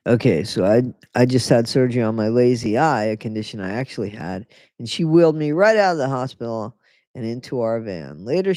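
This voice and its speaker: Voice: medium pitch, a little mellow, dry recording. Sarcastic livestreamer reading a book aloud. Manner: sarcastic asides between read passages; chatty, reads aloud, often stops and rereads. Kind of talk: casual live reading with commentary.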